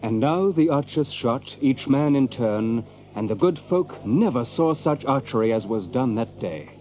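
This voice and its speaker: An actor playing a measured storyteller, reading scripted narration of a medieval adventure. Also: male voice, medium pitch, lively intonation, warm tone, close to an everyday voice, poor audio.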